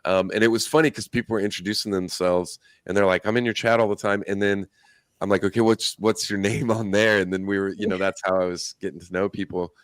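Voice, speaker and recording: Hoarse, deep-voiced man chatting casually. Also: flat delivery, quick pace, no room sound. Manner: laid-back, rambling with fillers. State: hoarse, losing his voice. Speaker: livestream co-host. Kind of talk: casual chat.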